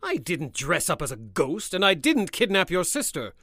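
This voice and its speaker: An actor playing a smug, indignant banker in an audio drama, with a haughty, dismissive and drily sarcastic manner. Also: male voice, high voice, wildly swooping pitch, normal speed, fairly bright tone, dry recording, studio quality, exaggerated voice. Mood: smug denial. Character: smug, indignant male banker accused of villainy.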